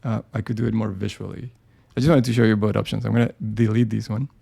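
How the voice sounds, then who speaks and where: A man hosts a live software tutorial, talking it through as he works. His voice is heavily gravelly and warm in tone, with a relaxed, unhurried delivery. He keeps pausing on fillers and restarting his sentences as he thinks aloud. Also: fairly low pitch. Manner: casual, thinking aloud with many ums and restarts. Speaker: design software presenter. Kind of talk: live screen-share tutorial.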